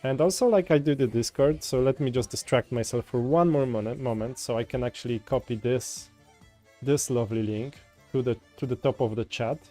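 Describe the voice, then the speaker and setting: Rambling Polish man with a mellow voice. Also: medium pitch, normal speed. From Poland. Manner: rambling, frequent restarts, chatty with viewers. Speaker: coding livestreamer. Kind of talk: casual livestream chat while coding. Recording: faint music in background. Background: soft folk music.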